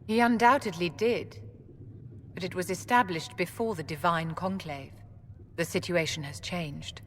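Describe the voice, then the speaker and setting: An actress playing an elegant, imperious middle-aged enchantress in a video game, with a haughty, deliberate manner. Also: female voice, lowish voice, a bit bright. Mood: stern, advising. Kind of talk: video game dialogue acting. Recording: noisy, long hall reverb.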